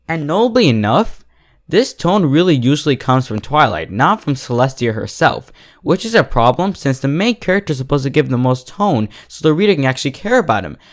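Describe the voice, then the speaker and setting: A man speaking as the host of an online fiction review channel, giving a scripted critique straight to his viewers. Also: a little high.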